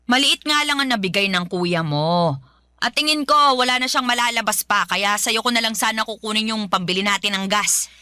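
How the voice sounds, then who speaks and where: A woman's voice: medium pitch, normal speed, bright, forceful tone, dry recording, clean studio sound, natural voice. A woman acting a harsh, nagging mother in a Filipino radio drama, scolding and belittling with a Filipino accent. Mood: demanding, angry.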